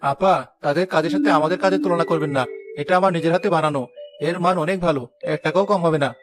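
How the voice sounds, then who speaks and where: A man's voice: fairly high pitch, steady tone, quick pace, music in the background, lightly acted. A man voicing a defiant, boastful young weaver son who argues his case in a village cartoon. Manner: boastful, argumentative. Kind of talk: moral-story cartoon dialogue.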